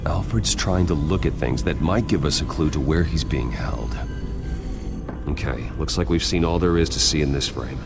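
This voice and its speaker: An actor playing a grim, brooding masked vigilante detective in a video game, speaking in terse, serious, analytical lines. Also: male voice, a bass voice, normal speed, creaky, music in the background. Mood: focused, analytical. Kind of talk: video game dialogue, investigative deduction.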